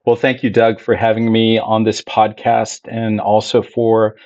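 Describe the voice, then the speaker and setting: A middle-aged man from Ecuador, a pastor being interviewed, telling his story in a measured, reflective way. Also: lowish voice, completely flat delivery, darkish tone, no echo, dull audio. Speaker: Spanish ministry pastor, guest. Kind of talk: conversational interview.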